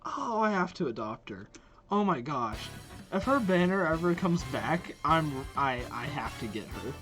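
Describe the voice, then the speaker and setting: An excitable video host giving gushing reaction commentary to game character trailers. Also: mid-range voice, measured pace. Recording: game music behind the voice. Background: video game trailer music.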